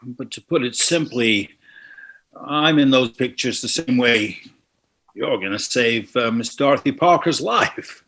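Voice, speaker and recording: A man playing a superior, mysterious, well-dressed historian in a screenplay table read, with a husky voice and a measured, formal manner. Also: mid-range voice, lively intonation, a bit bright. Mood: grave.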